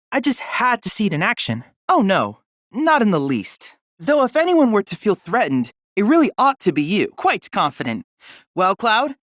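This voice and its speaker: A woman's voice: medium pitch, a bit bright, no echo, clean studio sound. A woman playing a polite, eager boyish android research assistant in a video game, speaking in a formal, precise, bookish way. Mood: confident, courteous. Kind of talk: scripted video game voice lines.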